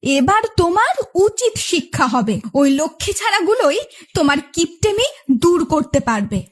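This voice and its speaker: Woman playing a very high-pitched, nagging cartoon wife. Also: very animated, normal speed. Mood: curious, teasing. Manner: dramatic, complaining, taunting. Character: nagging, melodramatic wife of a stingy husband. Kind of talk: Bengali cartoon voice acting.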